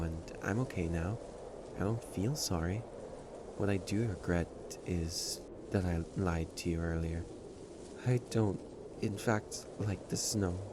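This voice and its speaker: A man playing a proud, prickly stray catboy who hides his loneliness, in an emotional audio roleplay with a haughty, halting delivery. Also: low-pitched, background noise. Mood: guarded, subdued. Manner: tsundere, haughty, defensive, halting.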